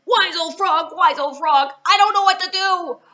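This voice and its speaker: A woman voicing an exasperated male farmer in a children's storybook tale, whining and pleading in drawn-out, flustered complaints. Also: very high voice, pushed and bright, muffled recording, pitched above the performer's own voice. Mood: frantic. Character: exasperated, whiny male farmer desperate for quiet.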